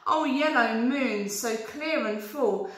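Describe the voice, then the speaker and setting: A woman's voice: a little high, slow, far from the mic. Woman as an eager rhyming rabbit, very echoey. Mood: awed. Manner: reciting rhymes with flourish. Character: rhyming rabbit, eager male storybook poet. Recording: very echoey room.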